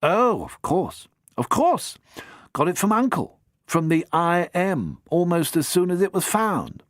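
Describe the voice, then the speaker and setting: A man's voice: sits high, sing-song, a little slow, no echo, voice pushed up. A chirpy, eager young toff , as a male reader does him, gushing and easily flustered into stammers. The voice is raised and bouncy, with a close, dry sound. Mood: breezy. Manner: breezy, gushing, stammers when caught out. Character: jolly young upper-class man, eager and flustered.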